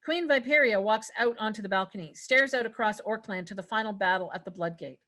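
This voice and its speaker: A woman's voice: mid-range voice, brisk, fairly bright tone. A woman narrating stage directions at a scripted table read, occasionally stumbling over words.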